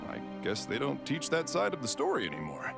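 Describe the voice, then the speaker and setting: An actor playing a knowing, middle-aged adventurer uncle in a video game, wry and explanatory. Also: male voice, medium pitch, huge pitch swings, a little fast, vocal fry, over music, heavily acted.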